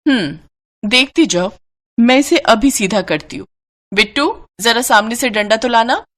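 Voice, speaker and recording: A woman voicing a cruel, bossy aunt with a curt, scornful manner in an animated family story. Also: fairly high pitch, unhurried. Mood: menacing. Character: cruel, bossy aunt and daughter-in-law villain.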